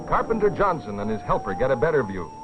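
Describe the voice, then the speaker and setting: A man's voice: medium pitch, sing-song, fast talker. A man giving grave, urgent scripted narration in the dramatic style of an educational training film. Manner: grave, urgent storytelling delivery. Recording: dull, lo-fi old educational film soundtrack. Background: dramatic background music.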